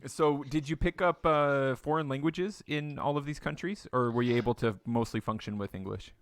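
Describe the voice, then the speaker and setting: A man's voice: mid-range voice, moderate pace. A man hosting a podcast who asks curious questions and slips in jokes. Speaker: podcast host. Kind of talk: casual interview chat.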